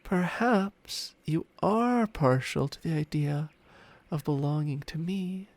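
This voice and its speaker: Man acting a smug ancient male dragon, slow pacing, slightly high male voice, breathy murmurs, possessive, seductive, ASMR roleplay. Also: dry recording, full character voice. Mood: teasing, seductive. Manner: slow, teasing, breathy murmurs slipping into whispers. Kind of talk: ASMR roleplay, intimate personal attention. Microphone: close-up microphone with spatial panning.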